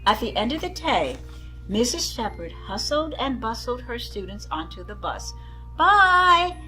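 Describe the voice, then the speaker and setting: A woman reading a children's story aloud in expressive narration with deliberate phrasing. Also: a little high, faint music behind, poor audio.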